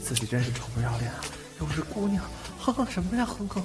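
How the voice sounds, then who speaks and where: A man's voice: fairly high pitch, very animated, fast talker. A man acting a bashful, cheeky young man who stammers and gets flustered with his older boyfriend in a Chinese audio drama. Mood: embarrassed. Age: young adult. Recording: loud background music.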